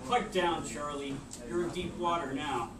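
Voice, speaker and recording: A man playing a scornful police officer who delivers deadpan taunts in a story reading. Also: fairly high pitch, measured pace, lightly acted. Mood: mocking. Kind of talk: live dramatic story reading. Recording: noisy, echoey room from far off.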